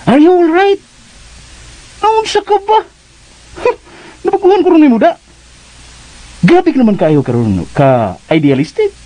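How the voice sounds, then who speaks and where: A man's voice: extremely high pitch, huge pitch swings, dark tone, full character voice, rough recording. An actor playing a breezy, teasing, dismissive buddy in a radio drama, drawing out his words with English slang and a Filipino accent. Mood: amused, teasing. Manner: drawn-out, mixes in English slang.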